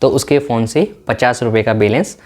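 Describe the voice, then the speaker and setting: A man's voice: medium pitch, normal speed, darkish tone. A man narrating in an explanatory, documentary style.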